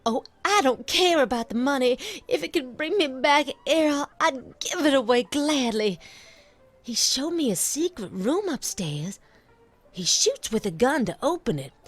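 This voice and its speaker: A woman's voice: fairly high pitch, lively intonation, a little slow, husky, faint music behind. An actress playing an anxious, sincere young woman in love in a video game, speaking in an earnest, plaintive way. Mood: earnest, sad. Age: young adult. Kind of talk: video game cutscene acting.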